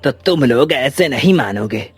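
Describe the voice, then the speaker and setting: A man's voice: medium pitch, expressive, unhurried, lightly acted. A man voicing an irate, foolish orchard watchman in a cartoon, accusing people angrily. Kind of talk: scripted cartoon dialogue. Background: background music.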